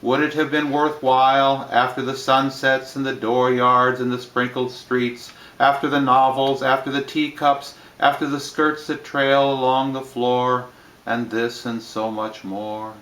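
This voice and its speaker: A man reading poetry plainly in a measured, phrase-by-phrase delivery, with line endings that trail off. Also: mid-range voice, little pitch movement, fairly bright tone, echoey room, poor audio. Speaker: reader of poetry.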